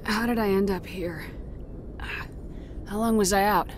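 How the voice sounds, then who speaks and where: An actress playing a steady female space commander in a video game, serious and controlled. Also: mid-range voice, measured pace, background noise, lightly acted. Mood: concerned. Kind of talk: video game cutscene acting.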